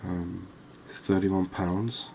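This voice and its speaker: A man giving unhurried, murmured ASMR commentary as he hosts a fishing game series. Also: low-pitched, little pitch movement, creaky, darkish tone, a little hiss or hum. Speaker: host of a gaming series.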